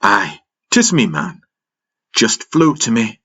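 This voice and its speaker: A man playing a gruff, joking, hot-headed spaceship pilot with rough, folksy old-salt talk in an audio drama, over music. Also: lowish voice, very animated, slow, pushed and bright, voice pushed up, heavily acted. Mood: brisk. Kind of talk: audio drama character acting.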